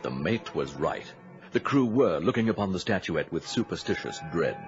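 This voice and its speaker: A man's voice: deep voice, very animated, normal speed, over music. A man narrating a grim seafaring tale as a scripted, dramatic old-time radio storyteller. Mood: ominous. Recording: muffled vintage radio recording.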